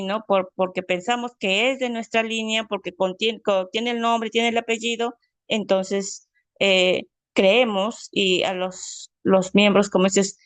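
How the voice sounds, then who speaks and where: A woman's voice: mid-range voice, no echo. A woman teaching a family history class over a video call, speaking in a friendly way with many fillers. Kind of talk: online class, casual explanation.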